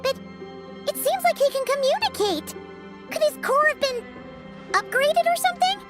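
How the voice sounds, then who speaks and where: Tiny, squeaky excitable sidekick. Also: female voice, moderate pace. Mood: puzzled. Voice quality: squeaky, childlike. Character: tiny excitable childlike companion, blunt and curious. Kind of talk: video game voice acting. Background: loud game music.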